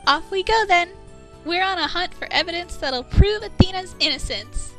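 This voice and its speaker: A woman's voice: very high voice, lively intonation, normal speed, sharp tone, faint music behind, heavily acted. A woman playing a lawyer's supportive, spirited teenage daughter in a game fan dub, earnest and encouraging. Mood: eager. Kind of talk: visual novel dubbing.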